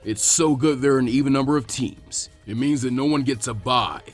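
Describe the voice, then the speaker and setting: A gruff, imposing ninja exam master acted out by a man reading fan fiction. He barks orders and sneers with total authority. Faint music plays behind him. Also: mid-range voice, fairly quick, natural voice. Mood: menacing. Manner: commanding, sneering.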